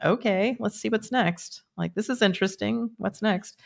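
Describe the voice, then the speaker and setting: A woman around sixty hosting a live stream, chatting casually and then guiding a group energy meditation with quick yes-or-no pendulum checks. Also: mid-range voice, vocal fry. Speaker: energy work host.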